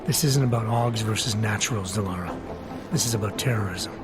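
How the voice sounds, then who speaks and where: A man playing a gruff, guarded augmented counter-terrorism agent with a low, gravelly voice and terse deadpan delivery in a video game. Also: brisk, lightly acted. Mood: firm, serious. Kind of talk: video game cutscene acting. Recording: loud music behind.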